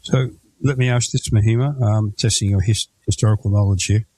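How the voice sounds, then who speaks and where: A man hosts a travel podcast in a casual, conversational way and keeps asking his guests questions. His voice sits a little low and sounds mellow. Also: fairly even intonation, brisk, rough recording. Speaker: podcast host. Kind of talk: casual interview chat.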